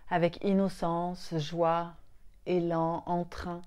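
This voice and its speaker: A woman leading a guided yoga nidra meditation in a soothing, unhurried way, with short phrases and long pauses. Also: lowish voice, monotone, darkish tone, clean tone.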